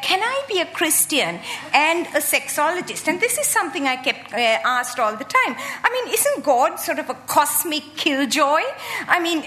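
A woman in her sixties from Sri Lanka, giving a humorous, animated public talk as a guest speaker. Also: high-pitched. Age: 60s. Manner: lively, animated, joking.

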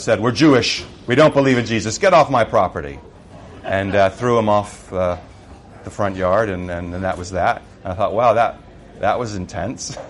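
A middle-aged man with an American accent, a missionary giving a personal testimony in a relaxed storytelling way, with frequent fillers. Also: on the low side, a little hiss or hum. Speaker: missionary speaker.

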